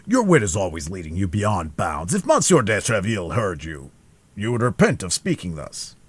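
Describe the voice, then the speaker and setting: Audiobook: a man playing a hearty, boastful swordsman, lower and louder, husky edge. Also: huge pitch swings, normal speed, dry recording, exaggerated voice. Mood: blustering. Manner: loud, blustering and self-satisfied. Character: boastful, hearty male musketeer Porthos.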